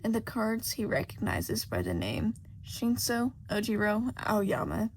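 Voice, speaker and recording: A woman's voice: mid-range voice, wildly swooping pitch, unhurried. A woman reading a scripted story aloud as an amateur audiobook narrator. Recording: faint background noise.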